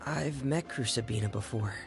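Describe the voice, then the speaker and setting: A man voicing a reserved, earnest young man in a video game, with a hesitant, measured delivery. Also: medium pitch, soft background music, natural voice. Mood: somber, reflective. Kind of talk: scripted video game dialogue.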